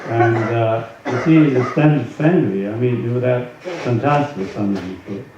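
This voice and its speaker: A man speaking as a swami, giving an informal spiritual talk and reading aloud from a book, with halting conversational asides. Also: mid-range voice. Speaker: swami, yoga teacher. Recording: roomy echo, distant mic, dull sound, faint noise.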